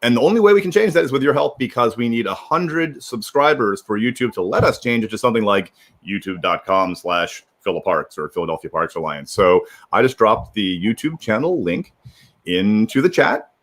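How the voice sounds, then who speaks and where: A man with a lowish voice hosts a livestream. He talks very quickly and informally, rambling through casual announcements and circling back over his own phrases. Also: animated. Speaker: livestream host. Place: remote video livestream.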